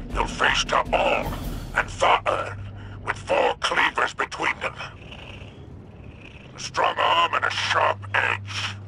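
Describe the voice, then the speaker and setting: A man playing a sly, greedy alien crime boss in a video game, with a gravelly voice and a sardonic drawl. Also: mid-range voice, exaggerated voice. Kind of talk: scripted game character dialogue. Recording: music under the voice.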